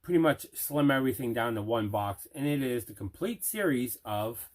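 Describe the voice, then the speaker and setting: Male unboxing host, mid-pitched. Casual, plain-spoken, enthusiastically emphatic. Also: lively intonation, moderate pace, a little mellow. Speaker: host of a movie collection unboxing show.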